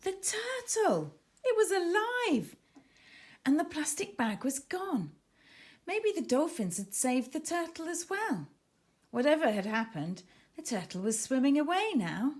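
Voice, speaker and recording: A woman's voice: sits high, very animated, unhurried, a little mellow. A woman narrating a children's story expressively, with dramatic emphasis as she reads. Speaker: author reading own children's book.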